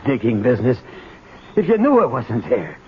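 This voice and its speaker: A man's voice: medium pitch, wildly swooping pitch, normal speed. An actor playing an anxious, honest young son in a 1970s radio drama, stammering and repeating his words with emotion. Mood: soothing. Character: anxious, earnest grown son, honest working Joe. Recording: dull, faintly noisy 1970s radio recording.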